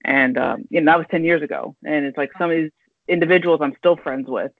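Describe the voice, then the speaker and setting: A woman in her thirties hosting a podcast, thinking aloud in halting, rambling sentences over a video call. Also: low-pitched, vocal fry, no room sound. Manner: rambling, halting, full of restarts and fillers. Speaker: podcast host. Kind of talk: casual interview chat. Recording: tinny dry video call audio.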